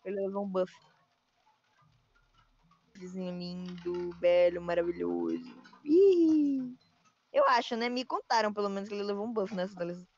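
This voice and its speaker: Excitable young gamer, high, lo-fi mic. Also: male voice, moderate pace, gravelly, long pauses. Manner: excitable, teasing, sing-song outbursts. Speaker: channel host. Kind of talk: casual gameplay chat. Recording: dull lo-fi voice chat.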